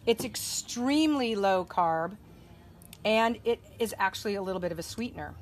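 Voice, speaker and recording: Middle-aged woman chatting thru a recipe outside, camera a bit far off, pitch in the middle. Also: animated, moderate pace. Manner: chatty, walks through steps while working. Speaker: cooking demonstrator. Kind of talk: casual cooking demo. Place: outdoor deck.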